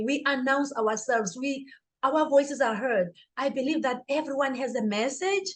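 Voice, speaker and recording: A woman speaking as an interview guest, a life coach and author, in an earnest, motivational way. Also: sits high. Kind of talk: interview answer.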